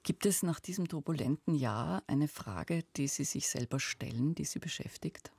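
A man's voice: fairly high pitch, normal speed, creaky, a little mellow, breathy edge, no echo. A man hosting a literary radio interview in a studio, putting prepared questions in a formal, articulate way.

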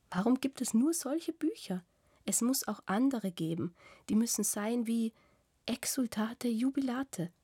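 A woman's voice: mid-range voice, dark tone, husky, no echo, studio quality. A woman reading an adult man's words as he scolds his lover with incredulous questions in an audiobook. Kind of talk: audiobook reading of a literary novel.

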